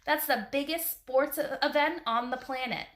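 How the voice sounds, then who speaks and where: A woman's voice: sits high, normal speed, a bit bright, voice pushed up. Woman reading as an eager young storybook boy. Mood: amazed, eager. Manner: eager, questioning, exclaiming. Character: Jack, excitable young boy adventurer in children's storybook.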